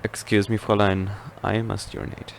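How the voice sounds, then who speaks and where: An actor playing a creepy henchman in an audio drama, stiff and deadpan. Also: fairly low pitch, brisk, vocal fry, noisy background. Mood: coldly polite.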